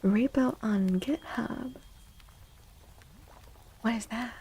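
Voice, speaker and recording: A woman speaking softly and soothingly in a whispery, breathy voice, as an ASMR livestream host. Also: fairly high pitch, a little hiss or hum. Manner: gentle, soothing, unhurried. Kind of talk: soft-spoken ASMR, tarot reading and chatting with viewers.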